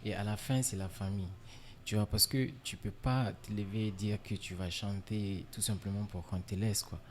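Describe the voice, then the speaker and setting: A man from Niger, a musician guest in a casual interview, relaxed and filler-heavy, dropping in English words. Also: on the low side, moderate pace, slight background noise.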